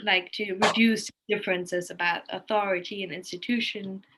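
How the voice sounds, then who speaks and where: Female guest in informal panel talk, forward bright tone, medium pitch, earnest. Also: fairly even intonation, normal speed, low-quality recording. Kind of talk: unscripted discussion.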